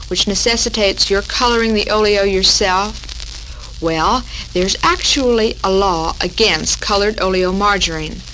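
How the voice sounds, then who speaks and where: An American woman hosting a scripted 1940s women's radio talk, reading in a measured, formal way. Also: medium pitch, fairly bright tone. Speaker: radio show host. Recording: noisy crackling 1940s radio recording.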